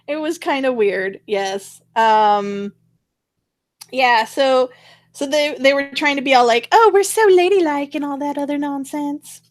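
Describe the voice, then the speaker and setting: A woman hosting a casual talk show, chatting in a halting, wandering way. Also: high-pitched, no room sound. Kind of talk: casual conversational commentary.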